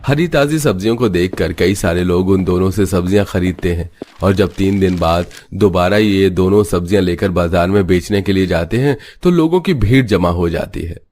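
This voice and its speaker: A man with a low voice tells a scripted story. He narrates it as for a moral cartoon tale. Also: lively intonation, normal speed, darkish tone, slight background noise.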